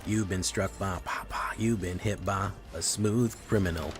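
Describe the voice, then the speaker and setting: Brief: young adult man, deep voice, easygoing streamer banter over faint background music. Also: moderate pace. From Chile. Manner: relaxed, chatty, reacting to chat. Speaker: streamer playing a card game. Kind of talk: casual live-stream commentary.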